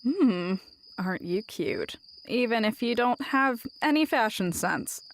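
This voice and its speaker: A woman's voice: a little high, lively intonation, measured pace. A woman playing a sassy, laid-back nonbinary human greeter in a vampire audio drama, teasing and breezily patronising. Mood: teasing, breezy.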